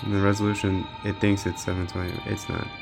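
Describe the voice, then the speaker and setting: A man's voice: low voice, level tone, quick pace, vocal fry, music in the background. A man giving casual, unscripted gaming commentary as a channel host, thinking aloud in a laid-back way.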